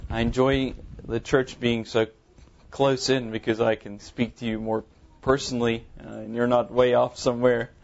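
Earnest South African pastor, mild accent. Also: male voice, medium pitch, little pitch movement, gravelly, dull audio. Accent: Afrikaans-accented English, mild. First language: Afrikaans. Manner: earnest, halting, many ums and uhs. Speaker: visiting pastor. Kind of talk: sermon testimony to a congregation. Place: church sanctuary.

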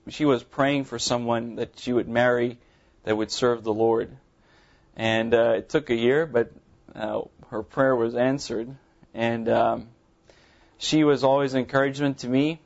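A man in his thirties from South Africa, an Afrikaans speaker with a mild Afrikaans accent, giving his testimony from the pulpit of a church in an earnest, halting way with many ums. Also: mid-range voice, steady tone, gravelly, highs cut off. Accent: Afrikaans-accented English, mild. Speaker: visiting pastor. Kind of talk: sermon testimony to a congregation. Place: church sanctuary.

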